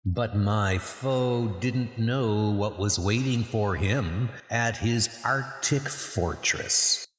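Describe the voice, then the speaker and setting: A man voicing a gloating, sinister time-trapping supervillain who narrates a comic book story slowly. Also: lowish voice, roomy sound, in a large hall. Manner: slow, gloating.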